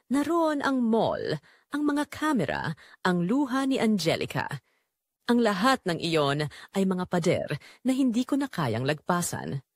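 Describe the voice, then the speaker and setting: A woman narrates a scripted romantic drama in the first person. Her delivery is solemn and emotional, with a lively, moving pitch. The recording is very dry. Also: dry recording.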